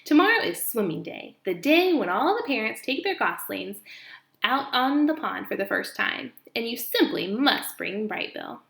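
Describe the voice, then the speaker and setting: Storybook old goose, female, motherly, bossy and chirpy, swooping pitch, roomy sound woman reader acting it. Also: big pitch swings, normal speed, creaky, fairly bright tone, exaggerated voice. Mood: breezy, reassuring.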